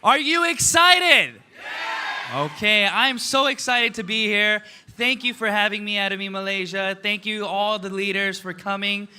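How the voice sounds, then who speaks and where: A man in his thirties giving an energetic motivational talk on stage, asking the crowd questions and tagging lines with "right?" and "okay?". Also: sits high, a little slow, slight background noise. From United States. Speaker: motivational speaker.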